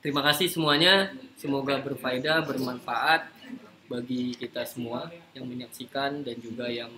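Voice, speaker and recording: A man's voice: medium pitch, steady tone, moderate pace, bright, forceful tone. A man hosting a casual live chat in an informal, joking way. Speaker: host. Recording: noisy room, live stream.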